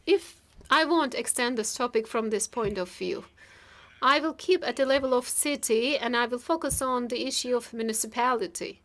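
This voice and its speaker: A woman working as a live interpreter of a formal keynote, speaking in halting, piecemeal phrases with stretched words. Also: fairly high pitch, a bit bright. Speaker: simultaneous interpreter.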